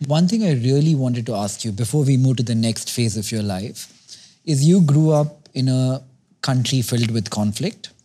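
A man's voice: mid-range voice, moderate pace, mellow, slightly rough. A man hosting a podcast, asking curious, probing questions in casual conversation. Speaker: podcast host. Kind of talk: conversational interviewing.

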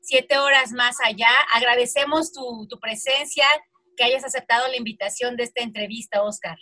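A woman with a Mexican accent speaks in a fairly high voice. She is co-hosting an interview and talks to the guest conversationally. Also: steady tone, normal speed, sharp tone. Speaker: interview co-host.

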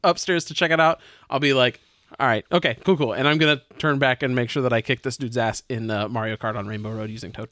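A man's voice: medium pitch, steady tone, fast, hardly any pauses, pushed and bright, no room sound, studio quality. A man playing a tabletop role-playing game, chatting casually and jokingly as he describes what his character does.

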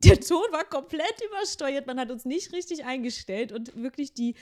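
A woman's voice: sits high, expressive, moderate pace, dark tone. A young woman co-hosting a podcast in casual chat, rambling with lots of fillers and restarts. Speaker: podcast co-host.

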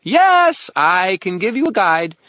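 A man voicing an excitable, impatient tiny male fairy for an audiobook, shrill and exclamatory. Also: takes it slowly, no echo, lo-fi sound, heavily acted. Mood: excited, stubborn. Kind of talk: audiobook character acting.

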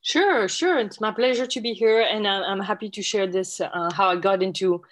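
A woman from France with a French accent, a guest researcher answering interview questions with frequent pauses and fillers. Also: mid-range voice. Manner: friendly, many hesitations and fillers.

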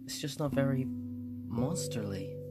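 A timid young child in a spooky story , acted out by a man, pitched well above the narrator's usual voice. The words come hesitantly, with stammers and curious questions. Loud plucked-string music plays underneath. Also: expressive, unhurried, natural voice. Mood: puzzled, hesitant.

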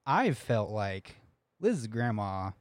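Casting: young male podcast host, low voice, rambling and laughing, dry close mic. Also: sing-song, slow pace, dry recording. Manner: rambling, laughing, many restarts. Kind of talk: casual storytelling chat.

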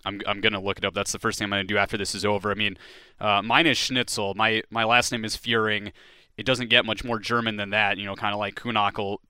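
Bright, crisp-voiced sports podcast host. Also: male voice, fairly low pitch, level tone, no room sound. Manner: upbeat, quick, chatty with frequent restarts. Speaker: podcast host and play-by-play broadcaster. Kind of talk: conversational sports podcast interview.

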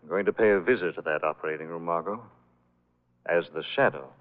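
An actor playing a composed, commanding gentleman sleuth with an urbane, decisive manner in a 1940s-style radio mystery. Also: low-pitched, fast talker, narrow, tinny audio, natural voice. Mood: firm. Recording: thin, band-limited old radio recording.

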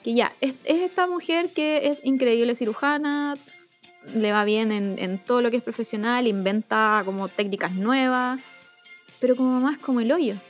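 A woman's voice: a little high, moderate pace, faint music behind. A Chilean woman co-hosting a casual chat, speaking hesitantly as she thinks aloud. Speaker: co-host of a talk show.

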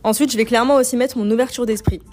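A woman's voice: fairly high pitch, steady tone, very fast, darkish tone. Young woman chatting informally over music. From Algeria. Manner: informal, youthful slang with English loanwords. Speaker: podcast host and image consultant. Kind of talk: casual self-help podcast monologue.

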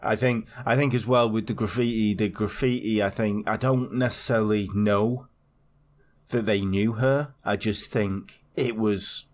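A man's voice: lowish voice, fairly even intonation, a little slow. A man co-hosting a casual podcast chat, telling a story in a rambling way with lots of swearing and restarts. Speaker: podcast co-host.

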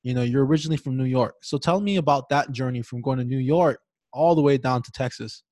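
A man from Hawaii hosts a sports podcast, asking easygoing, friendly questions at a middle pitch. His voice is recorded close and dry, with no room sound around it. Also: fast, a little mellow, dry recording. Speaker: podcast host. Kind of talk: casual podcast interviewing.

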